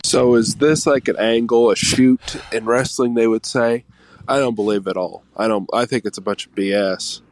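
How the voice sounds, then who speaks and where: A man voicing a gruff, distrustful middle-aged man who talks in flat, clipped, dismissive denials. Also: mid-range voice, moderate pace, no room sound, natural voice, poor audio. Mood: scoffing.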